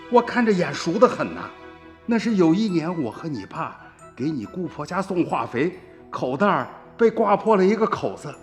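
A man playing an earnest older countryman who tells his family a tale in a folksy, animated radio drama narration. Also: mid-range voice, close to an everyday voice. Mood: intrigued.

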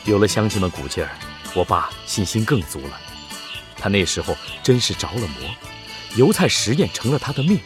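An actor in a radio drama playing a grown son narrating memories, sincere and reflective. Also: male voice, fairly low pitch, very animated, moderate pace. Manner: sincere, storytelling. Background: music.

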